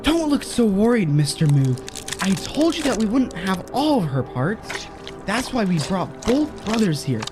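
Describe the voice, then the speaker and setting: A woman's voice: mid-range voice, very animated, measured pace, over music. A woman playing a scheming, upbeat assistant and kids' show host in a horror comedy audio drama. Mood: cheerfully sinister.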